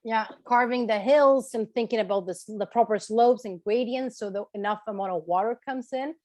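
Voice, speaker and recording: A woman's voice: on the high side, fairly quick, a little mellow, dry recording. A woman from Chile speaking as a tour guide in an informal talk, explaining and checking in with her listeners. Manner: explanatory, checks in with listeners, right and okay tags. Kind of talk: informal online lecture with question session.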